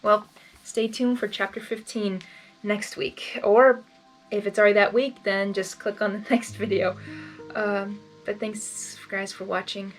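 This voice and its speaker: A woman who hosts fan fiction readings, moving between expressive story narration and emotional, casual reaction. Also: medium pitch, a little slow, faint music behind, poor audio. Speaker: fan fiction reader and channel host.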